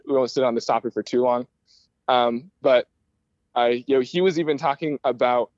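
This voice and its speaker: A man's voice: mid-range voice, darkish tone, no echo. American man explaining, dry dull recording. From United States, Michigan. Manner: rambling, enthusiastic explainer. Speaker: guest, aerospace start-up founder. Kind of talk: conversational interview.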